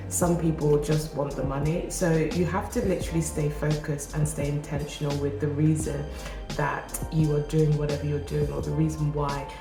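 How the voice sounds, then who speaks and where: A woman talking to camera as a self-improvement vlogger, encouraging and casual, her voice nasal and groggy from a cold. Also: deep voice. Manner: earnest, encouraging, casual with restarts. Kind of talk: motivational talk to camera. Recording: roomy echo with music behind. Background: background music.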